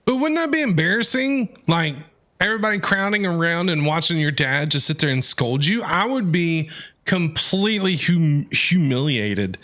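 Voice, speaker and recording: Guy streaming a game, super animated n jokey, acts out lines, music in the background. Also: a little high, moderate pace. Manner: theatrical, joking. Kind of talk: playful livestream commentary, reading dialogue aloud.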